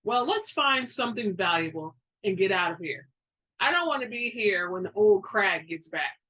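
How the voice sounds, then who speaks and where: A woman's voice: mid-range voice, lively intonation, normal speed, a bit bright, breathy edge, poor audio. A woman voicing a practical, wary burglar's partner in a storybook, casual and skeptical in delivery. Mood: impatient.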